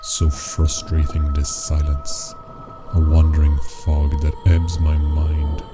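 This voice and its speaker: An actor playing a brooding, menacing schemer obsessed with a sea beast, speaking in ominous, archaic musings in a radio play. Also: male voice, very deep voice, completely flat delivery, takes it slowly. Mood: brooding, frustrated. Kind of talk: theatrical radio drama acting. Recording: music playing loudly behind.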